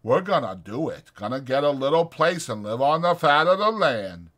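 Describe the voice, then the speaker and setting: A man voicing a big, slow-witted, trusting and anxious ranch hand in a dramatized audiobook, talking loudly, simply and with stubborn earnestness. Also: medium pitch, very animated, voice pushed up. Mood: earnest.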